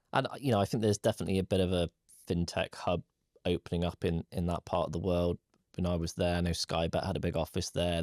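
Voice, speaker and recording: A male podcast co-host lays out his views in a measured, firm way. His voice sits very low and stays flat in pitch. The sound is dry and close. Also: dry recording. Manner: measured, opinionated. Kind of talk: conversational podcast chat.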